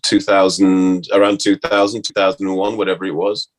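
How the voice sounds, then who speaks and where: Man reminiscing in casual, halting chat. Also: low voice, flat delivery. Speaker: actor, interview guest.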